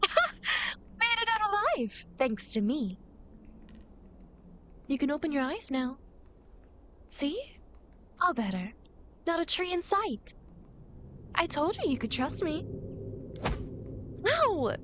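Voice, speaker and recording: A woman's voice: high-pitched, sing-song, slow pace, long pauses, sharp tone, a little hiss or hum, full character voice. A woman playing a playful, vain female demon who guides souls through the afterlife in an ASMR roleplay, teasing, smug and mock-indignant.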